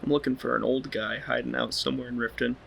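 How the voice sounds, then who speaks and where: A man's voice: medium pitch, flat delivery, quick pace, creaky, fairly bright tone, a little hiss or hum. A man casually role-playing the player character in video game dialogue.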